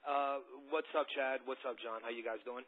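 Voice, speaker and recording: A man's voice: medium pitch, fairly even intonation, moderate pace. A man working as a boxing reporter, asking questions casually on a conference call. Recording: tinny narrow phone line.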